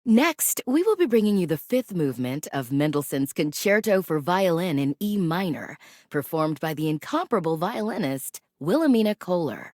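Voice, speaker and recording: A refined female classical radio DJ from a video game. Her voice sits a little low and moves melodically up and down. She announces in a measured, courteous, genteel public radio manner, recorded very dry and close. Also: big pitch swings, a little mellow, no echo. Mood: poised, informative. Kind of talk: scripted radio host announcements between pieces.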